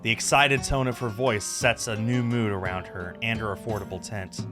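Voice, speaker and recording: A man's voice: on the low side, steady tone, normal speed. A man in his thirties, a game streamer, reading a visual novel aloud in a dramatic narrating style. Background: game music with guitar.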